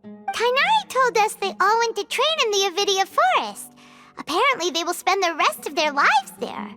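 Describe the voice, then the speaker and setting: A woman's voice: extremely high pitch, big pitch swings, moderate pace, pushed and bright, full character voice. A woman voicing a tiny, curious, chirpy childlike companion in an anime video game. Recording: game music behind the voice.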